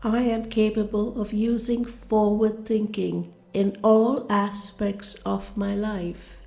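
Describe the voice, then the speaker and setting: A woman narrating a scripted guided meditation with soothing affirmations in a calm, gentle, deliberate manner. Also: medium pitch, gravelly. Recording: roomy reverberant voice over music. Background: music.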